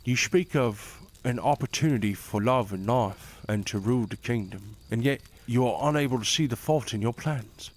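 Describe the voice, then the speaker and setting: A man's voice: medium pitch, normal speed, few pauses, close to an everyday voice. A man playing a loyal aging royal advisor. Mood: earnest. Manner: formal, courtly, gently doubting. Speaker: voice actor in audio drama. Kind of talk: fantasy audio drama acting.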